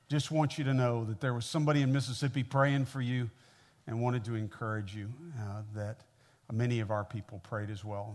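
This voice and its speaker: A man in his sixties from the American Midwest with an American accent, preaching conversationally as a visiting pastor in a folksy, personable way. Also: fairly low pitch. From Midwestern United States (Missouri). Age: 60s. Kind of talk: conversational sermon to a congregation.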